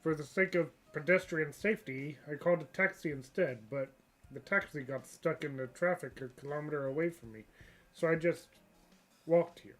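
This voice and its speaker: A reader doing a deadpan, evasive young lawyer woman. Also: mid-range voice, moderate pace, faint music behind, pitched below the performer's own voice, natural voice, rough recording. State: stuffed-up nose. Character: evasive, dryly self-assured young female lawyer.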